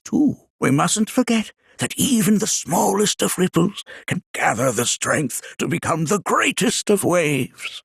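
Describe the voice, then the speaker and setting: A wise old game sage, creaky and husky, kindly, talks all formal and ponderous. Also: male voice, on the high side, fairly bright tone, no echo, clean studio sound, exaggerated voice. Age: older. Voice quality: raspy, aged. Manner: ponderous, formal, archaic storyteller phrasing. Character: wise, kindly old male scholar and planetary researcher. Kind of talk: video game character voice acting.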